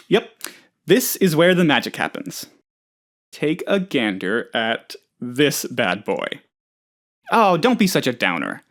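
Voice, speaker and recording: An oddball male boss from a video game, naive and easily excited. His voice sits a little high and bounces widely in pitch. He rambles chattily, hesitating as he goes, with total gullible earnestness. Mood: upbeat, then flustered, whimsical. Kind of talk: video game character dialogue.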